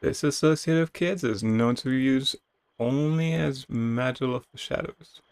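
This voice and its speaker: A man's voice: medium pitch, measured pace, creaky, darkish tone, smooth, dry recording. A man reading a video game's story aloud as a dramatic narration, with playful casual asides. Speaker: video game streamer reading game text aloud.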